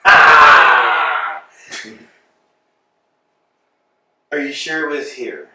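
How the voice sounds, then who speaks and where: A man's voice: medium pitch, lively intonation, extremely slow, gravelly, raised voice, very noisy, heavily acted. A man playing a sulky, plaintive male tramp in a play reading, recorded from far off in an echoey room. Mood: weary. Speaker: actor.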